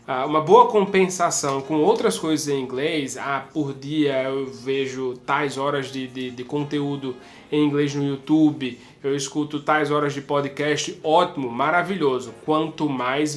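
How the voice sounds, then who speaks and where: A man with a Brazilian accent teaching an exam prep lesson in an informal, emphatic, conversational way, speaking straight to his students. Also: on the high side. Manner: conversational, emphatic, addresses students directly, acts out their thoughts. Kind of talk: informal explanatory video lesson.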